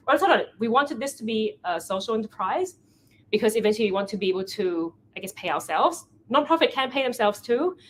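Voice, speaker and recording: A young woman from Thailand, giving a lively storytelling talk at a product meetup and often correcting herself mid-sentence. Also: medium pitch, slight background noise, low-quality recording. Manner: animated storytelling, acting out quoted conversations. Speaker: event founder giving a talk. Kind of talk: meetup presentation.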